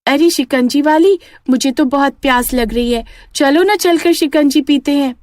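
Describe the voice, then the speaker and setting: A woman's voice: high-pitched, moderate pace, a little mellow, no room sound, clean studio sound, pitched above the performer's own voice, close to an everyday voice. A woman voicing an innocent, curious village child in a cartoon story, with an earnest manner.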